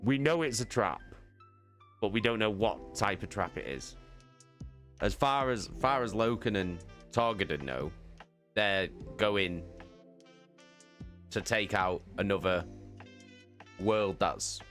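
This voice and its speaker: A man streams a dramatic reading in a low, slow voice. His talk is informal, and his narration is measured and theatrical. Soft music sits faintly underneath. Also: animated. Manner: sweary, informal. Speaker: streamer hosting a live book reading. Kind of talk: casual chat and dramatic narration.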